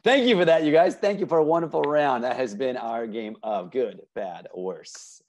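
A man's voice: mid-range voice, moderate pace, a little mellow, slight background noise. A man in his thirties hosting a playful game show over a video call, upbeat and often repeating answers back. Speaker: improv teacher and game show host. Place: indoor room at home, on a video call.

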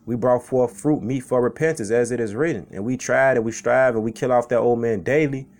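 A man of middle pitch preaches in a relaxed, conversational way, often tacking "man" or "okay" onto his lines. He reads verses aloud and then talks them over informally, like a street preacher from Chicago. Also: brisk. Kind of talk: informal sermon with scripture reading.

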